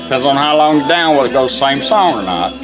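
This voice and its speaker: A man's voice: mid-range voice, animated, fast talker. A man giving a casual, hands-on product demonstration in a folksy, plain-spoken, instructional way. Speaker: radio restorer demonstrating a product. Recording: music playing behind the voice. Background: music from a radio.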